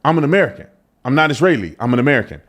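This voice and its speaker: A man's voice: medium pitch, very fast. A man hosting a casual commentary show, talking emphatically between clips and repeating himself as he argues his point. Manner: animated, emphatic, repeats phrases.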